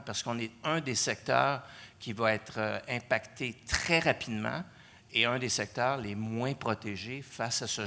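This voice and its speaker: A man's voice: mid-range voice, gravelly, bright, forceful tone. A middle-aged man speaking on a panel, hesitant with many restarts. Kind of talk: panel discussion.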